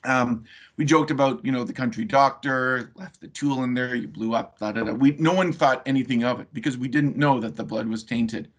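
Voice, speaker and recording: A man's voice: mid-range voice, brisk. A man giving a webinar talk over a video call, telling a personal story in a conversational way with frequent fillers. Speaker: webinar presenter.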